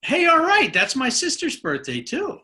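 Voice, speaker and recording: A man's voice: extremely high pitch, wildly swooping pitch, normal speed, pushed and bright. A man hosting a conversational political interview, musing informally and in a rambling way. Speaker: interviewer, host.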